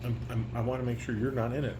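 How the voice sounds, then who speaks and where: A man's voice: mid-range voice, level tone, racing. A man talking to a child as a father, giving instructions and asking questions in a firm, correcting manner. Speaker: father leading a family table read. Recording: echoey room, background noise.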